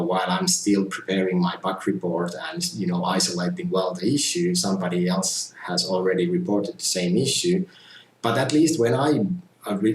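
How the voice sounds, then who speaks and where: A middle-aged man from Finland, giving a measured, earnest testimonial about his community testing and often saying "you know". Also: low voice, a little hiss or hum. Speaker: community tester. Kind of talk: casual testimonial talk. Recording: roomy echo with faint ambience.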